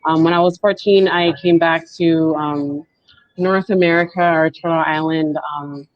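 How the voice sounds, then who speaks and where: A woman in her twenties, speaking softly and haltingly as she tells her story on a video call panel. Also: low voice, fairly even intonation, dry recording. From Canada. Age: 20s. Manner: soft-spoken, halting and reflective. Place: indoors at home, on a video call. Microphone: wired earbuds with mic. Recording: dull lo-fi video call audio.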